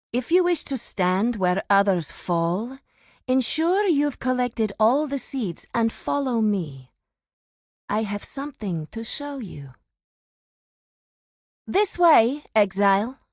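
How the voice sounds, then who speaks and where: Solemn female grove warden, fantasy game, slow delivery, commanding, formal, dry close recording. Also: medium pitch, dry recording. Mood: stern, appraising, then solemn, guiding. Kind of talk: scripted fantasy game dialogue.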